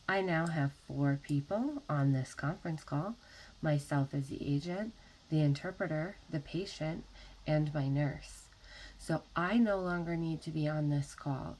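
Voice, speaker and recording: A woman narrating an instructional software tutorial as a trainer, explaining methodically one step at a time. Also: sits low, slight background noise, poor audio.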